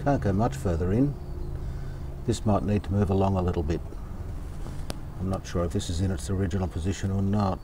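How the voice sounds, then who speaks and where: A man from Australia narrating hands-on repair work casually, unhurried and halting as he thinks aloud. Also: sits low, background noise. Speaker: radio restorer presenting his own repair videos.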